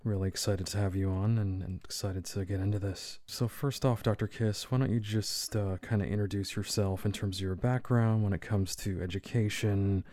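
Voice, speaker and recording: A man's voice: on the low side, steady tone, moderate pace, hardly any pauses, dark tone, no room sound. A man hosting a podcast interview, friendly and upbeat as he asks his guest questions. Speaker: podcast host.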